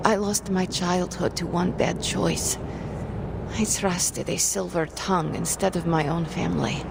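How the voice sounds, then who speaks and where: An actress playing a guarded, solemn young sorceress haunted by her childhood, speaking formally and deliberately in a video game drama. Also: lowish voice, steady tone, vocal fry, heavy background noise. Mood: bitter, solemn. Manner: formal, measured, brooding wording without contractions. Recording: loud music behind the voice. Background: music.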